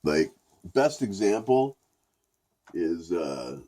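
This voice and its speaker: A man's voice: low voice, big pitch swings, very slow, mellow. A man with a stuffy, congested voice giving a profane, rambling, laid-back casual review. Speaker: product reviewer.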